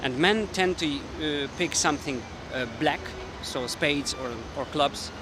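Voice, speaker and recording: A man's voice: on the high side, unhurried. A man with a Hungarian accent, a mentalist explaining his card tricks casually to the camera while thinking aloud. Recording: street noise with passing traffic.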